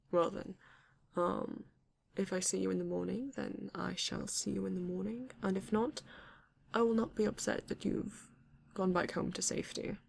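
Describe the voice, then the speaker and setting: A woman playing a courteous, bashful vampire in an intimate ASMR roleplay, speaking haltingly and formally with a gravelly, creaky voice. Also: medium pitch, steady tone. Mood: wary, teasing, then tender, polite. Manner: hesitant, halting, formal yet endearing. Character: courteous, bashful vampire living alone in a castle.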